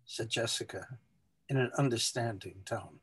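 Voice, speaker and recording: A man's voice: mid-range voice, moderate pace, fairly bright tone, slightly rough, no echo. A man reading a short story aloud as scripted, storybook-style narration.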